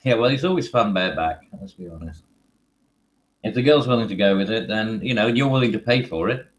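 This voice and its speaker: A man's voice: fairly low pitch, lively intonation, brisk, a little hiss or hum, low-quality recording. A man co-hosting a casual stream, teasing and sarcastic, laughing often. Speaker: stream co-host. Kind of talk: casual banter.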